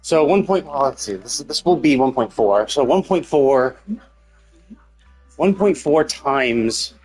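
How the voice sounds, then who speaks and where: A man gives casual live commentary on a game stream, thinking out loud in a halting way. His voice sits at an average pitch for a man. Music plays behind him as he talks. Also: expressive, low-quality recording.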